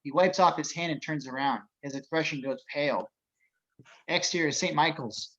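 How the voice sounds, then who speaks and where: Man, higher-pitched voice, scripted action narration, amateur table read, dull lo-fi sound. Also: normal speed, fairly bright tone. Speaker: reader of stage directions.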